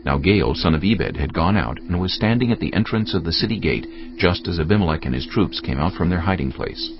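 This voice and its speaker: A man's voice: sits low, animated, brisk. A man narrating a dramatised audio Bible in a solemn storytelling manner. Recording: muffled, band-limited audio with music. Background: music bed.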